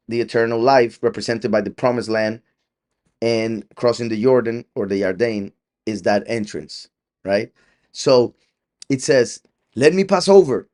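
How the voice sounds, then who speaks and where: A man's voice: mid-range voice, a little mellow. A middle-aged man leads a scripture study in an easy, conversational way. He stumbles and restarts and laughs lightly as he talks. When he quotes someone, he acts the line out in a changed voice. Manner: conversational, laughs often, with many restarts and fillers; acts out quoted lines with a shifting voice. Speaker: preacher. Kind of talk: Bible study teaching.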